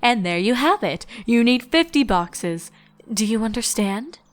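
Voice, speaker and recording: A woman voicing a kindly, regal mare schoolteacher in a storybook reading, patient and composed, with a gentle, instructive manner. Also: on the high side, lively intonation, a little slow. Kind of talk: storybook character voice.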